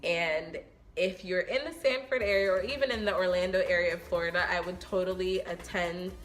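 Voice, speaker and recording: A young woman speaks earnestly and reflectively in a casual vlog, her voice sitting a little low for a woman. She pauses often with ums as she thinks aloud. Soft music plays faintly behind her. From New York. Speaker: vlogger. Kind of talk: casual get-to-know-me talk to camera. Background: soft background music.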